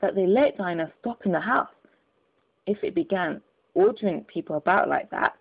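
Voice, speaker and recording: A woman's voice: mid-range voice, very animated, normal speed, vocal fry, breathy edge, heavily acted. A woman voicing a curious, polite young girl in a storybook reading, earnestly talking to herself. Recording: tinny phone-like audio.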